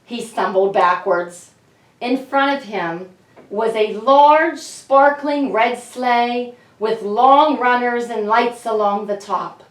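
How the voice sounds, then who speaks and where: In a roomy, echoing space, slightly away from the microphone, a woman reads to children. She takes her time, speaking deliberately as a storybook author addressing young listeners. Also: a little high. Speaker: children's author reading aloud. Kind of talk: storybook read-aloud for children. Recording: echoey room, slightly distant mic.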